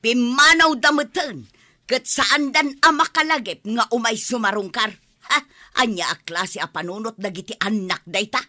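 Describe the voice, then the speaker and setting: Proud, defiant older mother for radio drama: high, very sharp, indignant delivery over music. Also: normal speed. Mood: furious scolding. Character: proud, quarrelsome, stubborn older mother who refuses to apologize. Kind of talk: Filipino radio drama acting.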